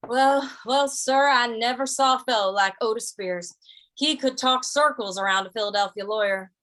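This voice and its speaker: A woman's voice: on the high side, normal speed, fairly bright tone, faint music behind, lightly acted, rough recording. A woman playing an easygoing, laconic male cowboy in an old-time western radio drama, with a folksy, hesitant, stammering delivery. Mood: folksy, resigned.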